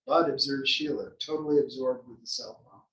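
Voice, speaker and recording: A man's voice: medium pitch, a little fast, a bit bright, lo-fi sound. A man reading scripted stage directions as the narrator at a play table read. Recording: echoey room, distant mic.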